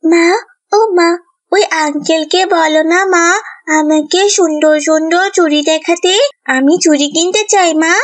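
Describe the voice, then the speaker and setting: A woman's voice: very high-pitched, fairly even intonation, measured pace. Cartoon village girl, woman performer, tiny piping voice, sweetly pleading with grown-ups. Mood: eager, coaxing. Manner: childlike, coaxing. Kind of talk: cartoon voice acting.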